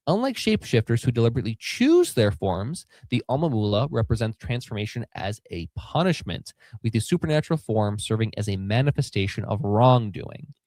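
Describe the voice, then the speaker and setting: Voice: medium pitch, a little mellow, no room sound. A podcast co-host chatting casually and partly reading from notes, in an informal, playful way.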